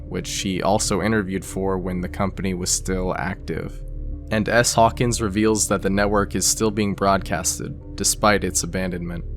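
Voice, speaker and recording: A man with a fairly low voice narrates a scripted video explainer. He talks the listener through it conversationally, slipping in casual asides. Soft music plays underneath. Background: background music.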